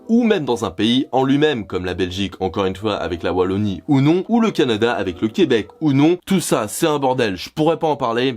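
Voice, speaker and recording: A man from France giving sarcastic, scripted comedic commentary as a YouTuber, swearing casually. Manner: sarcastic, swears casually, animated swings in pitch. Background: jazz background music.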